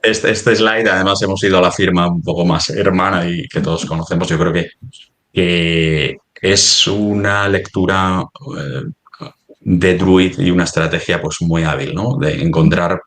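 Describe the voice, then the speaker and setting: A man with a Spanish accent from Spain presenting in a semi-formal webinar discussion, haltingly searching for his words. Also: deep voice, steady tone, fairly bright tone.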